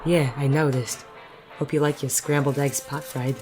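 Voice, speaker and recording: Over a clear music bed comes a gruff male private detective played by an actor in a noir audio drama. He is wry and smitten, talking in plain tough-guy phrases at a middling pitch. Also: little pitch movement, normal speed, lightly acted. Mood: teasing. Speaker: narrator and lead character. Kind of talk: noir audio drama, first-person narration and banter.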